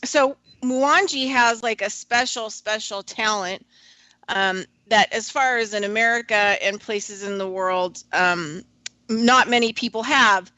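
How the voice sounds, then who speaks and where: A woman hosting a radio show, talking reflectively and hesitantly with many pauses. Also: fairly high pitch, bright, forceful tone, no echo, poor audio.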